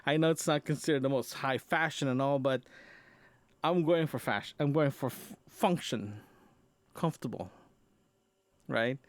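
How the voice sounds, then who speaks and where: A man's voice: mid-range voice, normal speed, long pauses, no echo. A man chatting casually in a personal audio diary, rambling and often repeating and rephrasing himself. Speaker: podcast host.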